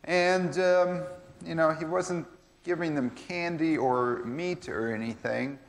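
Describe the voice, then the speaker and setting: A man's voice: fairly high pitch, takes it slowly. Man telling a legend loosely in a church skit; mid voice, reverberant hall. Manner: rambling, many fillers. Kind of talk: casual storytelling. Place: church sanctuary.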